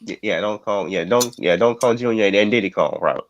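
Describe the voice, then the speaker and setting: A man chatting casually on an online call, halting and repeating his words as he works out ideas. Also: fairly low pitch, muffled recording. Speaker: stream guest. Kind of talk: casual chat.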